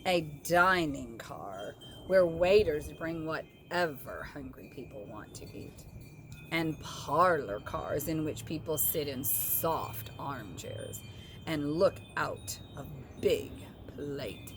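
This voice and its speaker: A woman's voice: low-pitched, expressive, takes it slowly, noisy background, pitched below the performer's own voice. A woman voicing a haughty, snobbish male train engine in a children's storybook, boastful and emphatic. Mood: haughty, scornful.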